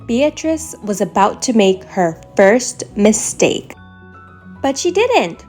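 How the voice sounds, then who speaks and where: Woman, children's story read-aloud, lively intonation, unhurried pace, music underneath. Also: medium pitch. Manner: animated, deliberate storytelling for young children. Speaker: storybook reader.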